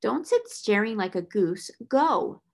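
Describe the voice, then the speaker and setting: A woman's voice: medium pitch, animated, normal speed, close to an everyday voice. A woman voicing a cold, furious, domineering older schoolmistress with a fierce, biting delivery in a storybook reading. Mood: harsh, commanding.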